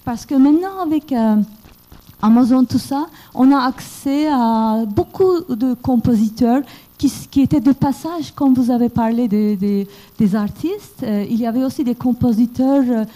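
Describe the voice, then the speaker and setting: A woman's voice: fairly high pitch, measured pace, dark tone. A woman from Canada asking a speaker a question from the floor of a lecture hall, hesitating often with fillers. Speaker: audience member asking a question.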